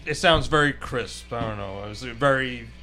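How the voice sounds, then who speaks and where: A man's voice: medium pitch, moderate pace, bright, forceful tone, soft background music. A man with a Brooklyn accent, a drummer guest, talking in an easygoing, hesitant way. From Brooklyn, New York. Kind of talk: casual interview chat.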